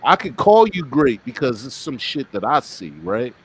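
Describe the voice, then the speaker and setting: A man's voice: medium pitch, sing-song, moderate pace, slightly rough. An American man hosting a podcast, chatting casually in an emphatic, informal way with some swearing. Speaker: podcast host. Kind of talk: casual interview chat.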